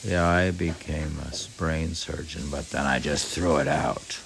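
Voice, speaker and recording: Very deep, creaky, slow-talking man. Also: fairly even intonation, a bit bright, slight background noise. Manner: halting, musing, often trailing off. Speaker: podcast host and reader. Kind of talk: reading a book aloud with rambling personal commentary.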